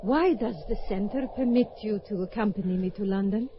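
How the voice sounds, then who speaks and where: A woman's voice: medium pitch, fairly quick, slight background noise, natural voice. A woman playing a spirited young Russian skater. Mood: determined. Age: young adult. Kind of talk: old-time radio drama acting. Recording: dull lo-fi radio recording.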